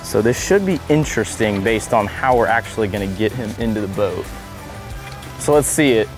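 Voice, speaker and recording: A man in his thirties, a fishing vlogger, talking casually and excitedly on camera from a small boat on the open ocean, recorded on a boat-mounted camera. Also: lowish voice, moderate pace, over music. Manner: excited, breaks into whoops and laughs while fighting fish.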